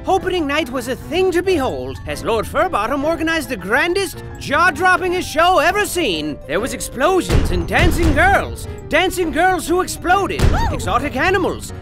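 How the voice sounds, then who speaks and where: A man playing an excitable, sarcastic game narrator who tells a comic story theatrically and drops into casual deadpan asides. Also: very high-pitched. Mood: grand storytelling. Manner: hammy, mock-dramatic, swinging into casual deadpan asides. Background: music.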